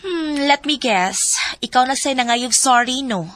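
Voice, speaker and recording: A woman's voice: sits high, lively intonation, unhurried, fairly bright tone, soft background music. A woman acting the lead's frank, teasing friend in a radio drama, giving blunt advice. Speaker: supporting character, the lead's friend.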